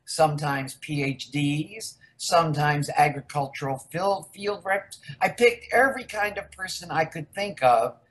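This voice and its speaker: A woman's voice: low voice, measured pace, few pauses. An older woman from the United States, a researcher guest in a casual interview, rambling candidly through her story.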